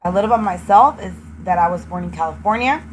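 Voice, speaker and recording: A young woman who grew up in Texas, a native Spanish speaker, earnestly telling her own story as an education student and pausing often with ums. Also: lowish voice, lively intonation. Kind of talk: personal testimony. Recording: noisy with passing vehicle sounds.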